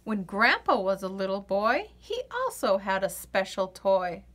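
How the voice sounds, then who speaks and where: A woman reading a children's picture book aloud in an expressive, sing-song way. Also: medium pitch, a little slow. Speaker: children's story reader.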